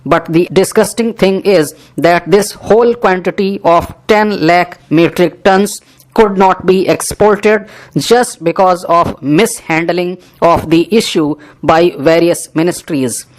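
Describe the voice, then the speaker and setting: A reader, dictating a parliamentary speech slowly, phrase by phrase, for shorthand practice. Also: medium pitch, low-quality recording. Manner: measured, deliberate phrase-by-phrase reading.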